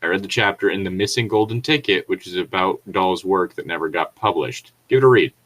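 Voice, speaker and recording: A man's voice: low-pitched, a little fast, a bit bright. A man giving casual commentary and reading aloud as a co-host, in a rambling, incredulous, sweary manner. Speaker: co-host reading and reacting to online horror stories.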